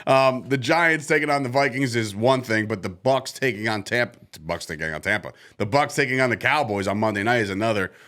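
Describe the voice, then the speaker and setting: A man co-hosting a casual sports podcast, loud and quick to laugh. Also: medium pitch. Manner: loud, laughs often, excitable. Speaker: co-host.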